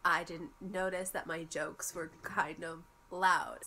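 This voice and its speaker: A woman's voice: on the low side, level tone, slow pace, pushed and bright, slight background noise. A young woman with a congested voice from a cold, chatting and explaining in a casual vlog. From United States. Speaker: vlogger. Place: bedroom at home.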